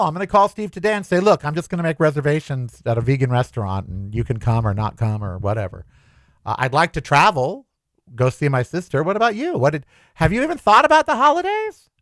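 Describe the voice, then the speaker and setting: A man hosting a solo podcast, talking in a chatty, anxious, animated way. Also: high-pitched, a little fast, no room sound. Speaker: podcast host. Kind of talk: casual solo podcast monologue.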